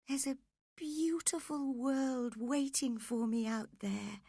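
A woman voicing a frail, dying young wife, passionate and desperate, with breathy, pleading drama, in a storybook reading. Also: high voice, fairly even intonation, slow pace, warm tone, no echo, clean studio sound, voice pushed up, exaggerated voice. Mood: feverish longing.

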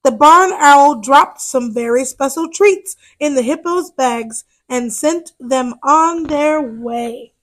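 Female storyteller, high voice, slow pace, expressive, children's book read-aloud. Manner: expressive, deliberate.

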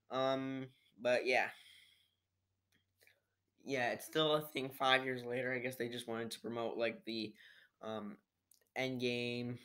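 A man's voice: medium pitch, fairly bright tone, clear, resonant tone. A teenage boy giving a casual, unscripted movie review in a rambling, hesitant way, recorded at home on a built-in computer microphone. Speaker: amateur video reviewer. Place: living room at home, indoors.

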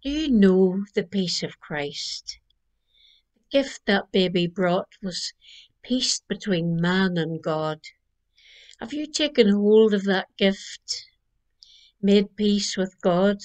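An older woman minister from Northern Ireland giving a gentle Christmas Eve reflection from her living room, speaking into a webcam. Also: medium pitch. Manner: slow, gentle preaching cadence. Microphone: webcam at desk distance.

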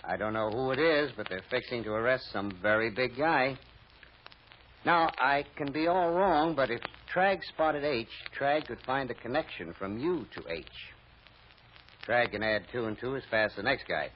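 An American actor playing a wary, wisecracking private detective in an old-time radio drama, talking in skeptical slang. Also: male voice, medium pitch, normal speed, close to an everyday voice. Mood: wary, warning. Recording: noisy, muffled vintage radio recording.

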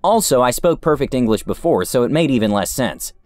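A man's voice: mid-range voice, sing-song, brisk, darkish tone, dry recording, faint music behind. A man narrating forum stories in a scripted reading style, with a close studio mic.

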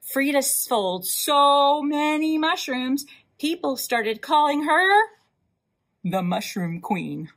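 A woman hosting a library storytime, reading a picture book aloud in an animated way, with emphatic drawn-out words and asides to young listeners. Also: high-pitched. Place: indoors, in front of a wall with floral decals.

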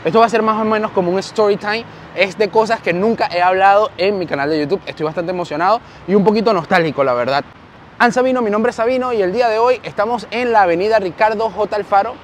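A young man with a Venezuelan accent, vlogging animatedly to a handheld camera as he walks along a city street, wearing a lapel mic. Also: high voice. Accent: Venezuelan Spanish. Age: young adult. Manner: animated, gestures while walking and talking to camera. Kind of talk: walking vlog storytelling. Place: busy city street outdoors with traffic. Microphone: lapel mic on shirt, handheld camera. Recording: faint street and traffic noise.

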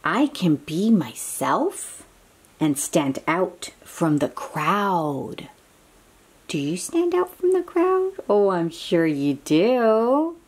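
A woman's voice: fairly low pitch, big pitch swings, very slow, slightly rough. A woman reading a picture book aloud to young children in an encouraging, exclaiming way, with chatty asides and questions to the listener.

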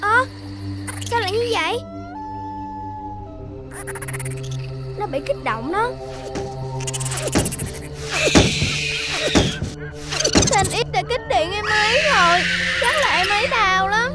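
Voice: extremely high pitch, expressive, normal speed. A performer voicing a small, curious cartoon child adventurer with an earnest, wondering manner. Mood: worried. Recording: loud music under the voice.

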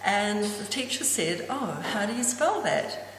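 A woman's voice: mid-range voice, very animated, measured pace. A woman in her sixties with a New Zealand accent and Danish as her first language, telling personal memories to camera. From Auckland, New Zealand. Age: 60s. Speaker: interviewee. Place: indoors, hall-like room with hard surfaces. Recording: echoey reverberant room, clear background noise.

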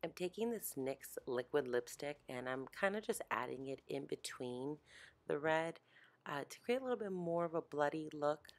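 A young woman with a deep, slightly hoarse, allergy-congested voice, chatting informally through a casual makeup tutorial. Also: animated, normal speed. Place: small home art studio, indoors. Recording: roomy echo, music behind.

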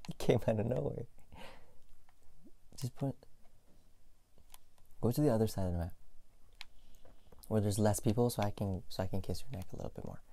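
A man playing a playful, affectionate, clingy young boyfriend in a soft, intimate, teasing ASMR roleplay, with keyboard clicks behind him. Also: fairly low pitch, normal speed, creaky, long pauses. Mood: amused, watching, then encouraging, tender. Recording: faint keyboard and mouse clicking noise. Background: game sounds, keyboard typing and clicking.

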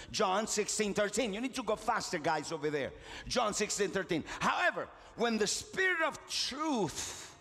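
A man's voice: high-pitched, a bit bright. A middle-aged man, preaching a fiery sermon with call-and-response in a large church auditorium. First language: Spanish. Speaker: pastor. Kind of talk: impassioned sermon. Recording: live church hall reverb with music. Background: congregation and faint music.

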